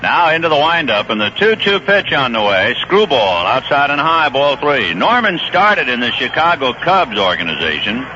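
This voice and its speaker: A man doing play-by-play baseball commentary on a vintage 1970s radio broadcast, brisk and rising on big plays. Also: medium pitch, sing-song, pushed and bright, dull audio, slight background noise. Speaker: play-by-play announcer. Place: ballpark broadcast booth.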